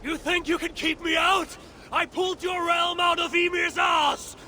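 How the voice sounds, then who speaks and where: An actor playing a proud, stubborn old Norse god-king in a video game, gravelly and theatrical in his grand declaiming. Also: extremely high pitch, level tone, unhurried, pushed and bright, shouting, slight background noise, exaggerated voice. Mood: defiant, furious. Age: older. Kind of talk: dramatic game cutscene acting.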